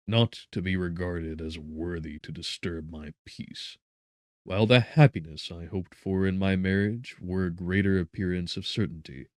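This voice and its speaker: A man narrating a literary audiobook in a solemn, theatrical manner, with deliberate, emphatic phrasing. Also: deep voice, no echo, studio quality.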